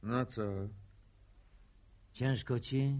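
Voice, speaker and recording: An actor playing a glib, wheedling village schemer in a radio drama, boastful and needling. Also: male voice, medium pitch, level tone, drawn-out words, a little mellow. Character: cocky, wheedling village schemer, male, talking his way out.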